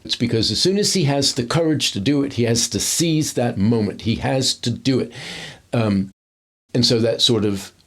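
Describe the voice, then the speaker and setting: Explanatory man with a mid-pitched voice. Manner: explanatory, conversational. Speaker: film acting commentator. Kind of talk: analytical scene commentary.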